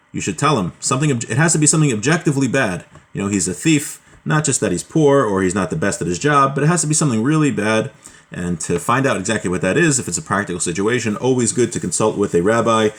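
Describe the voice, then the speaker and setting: Man explaining laws from a Hebrew text. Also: medium pitch. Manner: reads Hebrew passages, then explains them plainly in English; relaxed, with frequent fillers and self-corrections. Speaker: Torah teacher.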